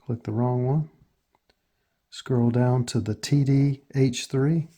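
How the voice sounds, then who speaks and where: An American man walks through instructions as a tutorial host. His voice is soft-edged and husky, with a dark, relaxed tone. He speaks casually and matter-of-factly, pausing as he goes from step to step. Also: medium pitch.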